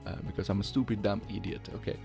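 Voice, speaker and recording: A man's voice: fairly low pitch, level tone, fast talker, vocal fry, dark tone, faint music behind. A man giving casual, self-deprecating commentary to his viewers in a hesitant, giggly way. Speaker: voice challenge video creator.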